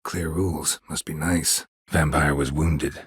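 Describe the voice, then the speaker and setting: An actor playing a gruff, weary, stoic monster hunter in a video game, a gravelly voice and a terse, deadpan delivery. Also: male voice, unhurried, dry recording, full character voice. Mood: dry, terse. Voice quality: husky, gravelly low voice. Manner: terse, clipped, deadpan, dry. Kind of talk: video game character dialogue.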